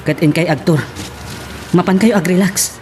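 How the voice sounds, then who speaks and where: A woman's voice: fairly low pitch, moderate pace, darkish tone, slight background noise, close to an everyday voice. An actress with an Ilocano accent playing an exasperated daughter pleading with her mother in a radio drama. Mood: pleading, firm.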